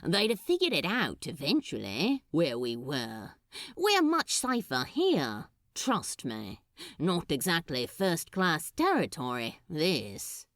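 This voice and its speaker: Lady doing a cocky male demon from a storybook, wry teasing drawl, pitch slides all over, dry sound. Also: wildly swooping pitch, slow pace, fairly bright tone, no room sound, exaggerated voice. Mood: reassuring.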